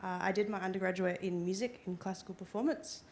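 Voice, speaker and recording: A woman's voice: mid-range voice, quick pace, darkish tone, a little hiss or hum. A woman, introducing herself as a new student in an easygoing way. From Adelaide, Australia.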